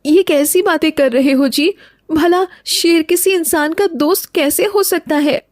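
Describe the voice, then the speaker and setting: A woman's voice: very high-pitched, measured pace, no echo, studio quality. A woman playing a dutiful, fretful wife of a village woodcutter in a cartoon story, polite and homely. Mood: alarmed. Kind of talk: Hindi cartoon story dialogue.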